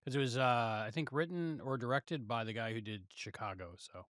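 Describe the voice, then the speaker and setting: A man's voice: medium pitch, normal speed, fairly bright tone, no echo, studio quality. A man in casual podcast conversation as co-host, given to playful, self-deprecating banter.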